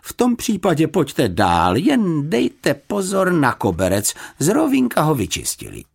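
A narrator doing a fussy old housekeeper, animated and high. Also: gravelly, breathy edge, exaggerated voice. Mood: brusque. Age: older. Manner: exclamatory, doubtful, scolding but kindly. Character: stout motherly grey-haired housekeeper, brusque and fussy.

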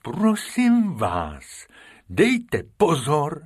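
A man voicing a big, gentle male elephant who speaks slowly and politely. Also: on the high side, wildly swooping pitch, breathy edge, voice pushed up, full character voice. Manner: slow, drawn-out, polite.

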